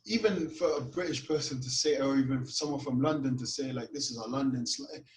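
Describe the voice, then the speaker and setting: A man from Canada with a Caribbean-tinged Toronto accent, a podcast guest telling animated stories in casual chat over a video call. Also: mid-range voice, a little fast, reverberant. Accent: Toronto, Caribbean-influenced. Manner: animated storytelling, lots of "you know what". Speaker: podcast guest, grime artist.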